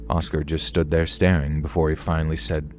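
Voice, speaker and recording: A man narrating a story in a calm, steady storytelling manner. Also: a bass voice, fairly quick, soft background music. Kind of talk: scripted horror story narration.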